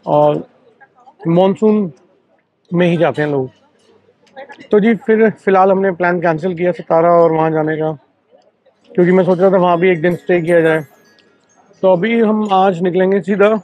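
A man chatting casually to viewers as a travel vlogger, often with a friendly "so, sir" filler, in a hotel breakfast area on a camera mic. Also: high voice. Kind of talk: casual travel vlog chat. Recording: dull lo-fi sound with faint music.

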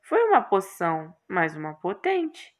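Girl doing a calm horned magic guy for a game, dry, takes everything literally. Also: medium pitch. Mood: matter-of-fact. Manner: measured, dry, unruffled.

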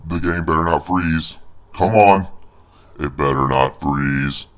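A man voicing a huge, snarky monster with a growly voice, giving crude commentary on a video game. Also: clear, resonant tone. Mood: grumbling. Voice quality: deep growly put-on voice. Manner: slow, snarky, crude, exclaiming.

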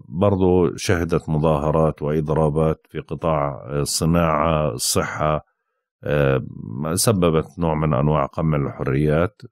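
A man giving a daily astrology forecast. He sounds tired and unwell, and he talks slowly and haltingly, with frequent drawn-out "uh" pauses. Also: a bass voice, no echo, clean studio sound. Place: indoors, with possible street sounds outside.

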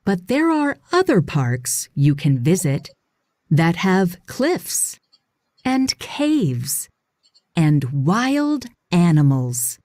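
Very slow, expressive woman narrator. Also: fairly low pitch, no room sound, clean studio sound. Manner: deliberate, expressive phrasing for young readers. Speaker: audiobook narrator. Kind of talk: scripted children's read-aloud narration.

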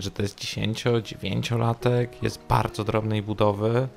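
A man's voice: lowish voice, vocal fry. A man player in a tabletop game describing his character, with small hesitations.

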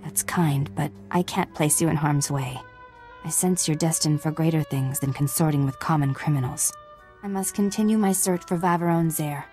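A woman plays a serene, literal-minded mystic knight in a video game. Her voice is mellow and a little low, and her speech is calm, earnest and formal. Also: moderate pace, faint music behind, close to an everyday voice. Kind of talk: video game dialogue acting.

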